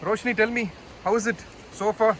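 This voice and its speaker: Excited vlogger guy; quick, high-pitched, recorded out on a trail. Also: background noise. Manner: excited, chatty interviewer. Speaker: vlogger host. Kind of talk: casual trekking vlog chat. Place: outdoors on a forest trail.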